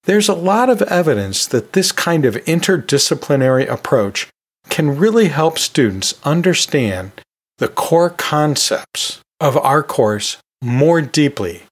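A man hosting an educational podcast, giving advice as a teacher in measured, deliberate phrases with emphatic pauses. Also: fairly high pitch, very animated. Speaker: podcast host and anatomy and physiology teacher.

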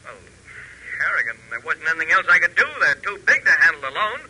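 A man acting as a gruff, defeated airfield operator and war veteran in a 1940s radio drama, with a husky voice. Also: on the high side, lively intonation, fast talker, pushed and bright, heavily acted, low-quality recording. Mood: testy.

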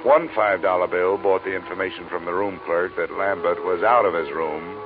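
An actor playing a cool, hard-boiled insurance investigator, narrating and talking in a crisp, wry, businesslike way in an old radio drama. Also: male voice, low voice, fairly even intonation, fairly quick, noisy background, natural voice. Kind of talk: 1950s radio detective narration and acting. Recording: narrow vintage radio recording.

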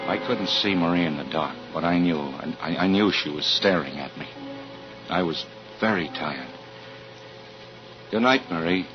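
Male actor as weary, hesitant insurance investigator, low register, old muffled radio-thriller recording. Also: over loud music, close to an everyday voice. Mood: uneasy, weary. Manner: hesitant, halting, with stammered repeats. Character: uneasy, weary fire insurance investigator, stubborn man. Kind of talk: 1940s radio acting, first-person narration and dialogue.

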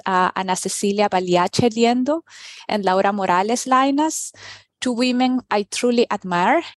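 A woman's voice: medium pitch, no echo, clean studio sound. A woman from Peru raised in Venezuela, hosting a conversational interview and asking questions with enthusiasm. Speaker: podcast host.

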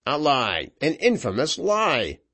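Man acting a seething, slow-spitting villainous officer. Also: sits low, sing-song, heavily acted. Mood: furious accusation. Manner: blustering, drawn-out, seething. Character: villainous, hot-tempered male naval officer, choked with rage. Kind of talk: dramatised audiobook character voice.

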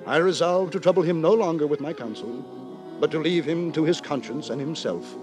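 An actor playing an earnest, long-suffering, moralizing gentleman narrator in a theatrical, formal 1950s radio drama. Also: a little high, brisk, natural voice. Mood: indignant, resigned. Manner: theatrical, formal, fretful storytelling. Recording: 1950s radio broadcast with music.

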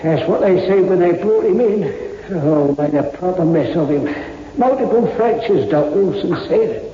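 An odd, chatty working-class morgue attendant talks in a high voice with colloquial turns. It is old radio drama, echoing in a hall and slightly dull. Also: male voice, animated, moderate pace. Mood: gossipy. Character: eccentric, lonely working-class London morgue attendant, war veteran. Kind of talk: 1960s radio drama acting.

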